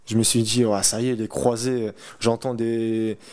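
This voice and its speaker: A man's voice: mid-range voice, flat delivery, normal speed, darkish tone. An eighteen-year-old man chatting casually as an interviewed athlete, using colloquial speech and repeating phrases for emphasis. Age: 18 years old. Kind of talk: casual chat.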